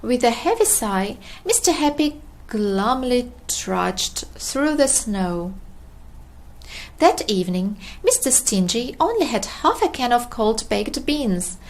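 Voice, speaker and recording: A woman narrates a children's story in a high, highly animated voice. She takes her time, reading expressively and deliberately for very young listeners.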